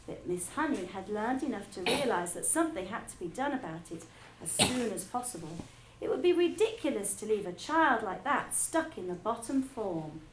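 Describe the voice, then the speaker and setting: A woman teacher reading a children's novel aloud to her class, with expressive storytelling. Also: medium pitch, a little hiss or hum. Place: school room. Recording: echoey room with faint ambience.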